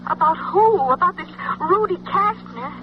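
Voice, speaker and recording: A woman's voice: very high-pitched, expressive, a little slow. An actress playing a worried, exasperated woman in a radio drama, speaking in an emphatic, pleading, colloquial way. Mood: worried, tender. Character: worried, exasperated woman named Jenny, the everyman's partner. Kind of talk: old-time radio drama acting. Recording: dull, faintly noisy old radio recording.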